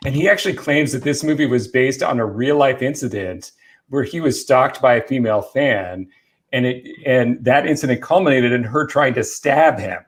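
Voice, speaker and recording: A man with a mid-pitched voice hosts a casual panel discussion. He explains film trivia with evident enthusiasm, in an informal, conversational way. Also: moderate pace. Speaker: film talk show host presenting movie trivia.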